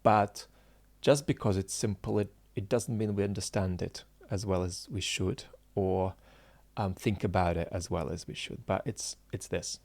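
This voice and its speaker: Deep-voiced, halting reflective man. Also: steady tone, darkish tone, no room sound. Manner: halting and searching, with frequent fillers and restarts; earnest and reflective, stressing key words. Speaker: political philosopher and channel host. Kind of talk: unscripted solo talk to viewers.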